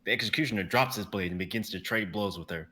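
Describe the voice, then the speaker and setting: A young man reading scene directions aloud for a live drama stream, in a brisk and vivid way. His voice is low and bright, and now and then he stumbles over a word. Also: slight background noise. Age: young adult. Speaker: narrator reading stage directions.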